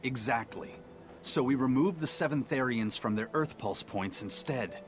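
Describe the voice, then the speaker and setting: A man's voice: mid-range voice, expressive, moderate pace, a bit bright, slight background noise, lightly acted. An actor playing a cool, worldly male pirate in a fantasy game, laid-back and assured. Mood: calm, explaining. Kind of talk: dramatic game dialogue.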